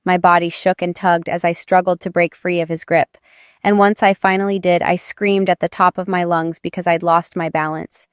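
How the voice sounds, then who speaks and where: A woman's voice: fairly low pitch, level tone, moderate pace, clean tone, no room sound, shouting, clean studio sound. A woman giving dramatic first-person narration of a romance audiobook.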